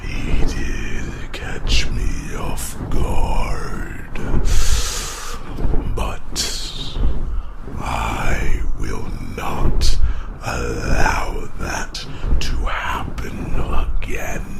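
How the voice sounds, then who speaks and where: A man voicing an ancient, weary male dragon in an ASMR fantasy roleplay, solemn, formal and protective, with a gravelly, breathy growl. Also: a bass voice, little pitch movement, heavy background noise, heavily acted. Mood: solemn, resolute. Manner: slow, solemn, formal, halting phrases.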